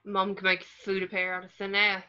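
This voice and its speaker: A woman's voice: mid-range voice, completely flat delivery, normal speed, sharp tone, smooth, natural voice. A woman reading the part of a sulky, sarcastic teenage wizard boy in a script table read. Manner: grumbling, sarcastic. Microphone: video call. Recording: dull lo-fi video call audio.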